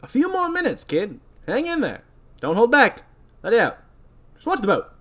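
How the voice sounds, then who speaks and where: Male reader as seasoned old boat captain, mocking and wry, animated delivery for a script read-through. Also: very high-pitched, normal speed, voice pushed up, exaggerated voice. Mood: reassuring. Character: older male ferry captain, gruff and mocking.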